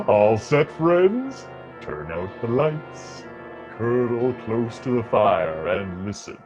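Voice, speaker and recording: An actor playing a darkly joking, sinister horror host in theatrical old-time radio style. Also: male voice, mid-range voice, big pitch swings, a little mellow, muffled recording. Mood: ghoulishly jovial. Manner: theatrical, sinister, drawn-out delivery. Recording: music under the voice.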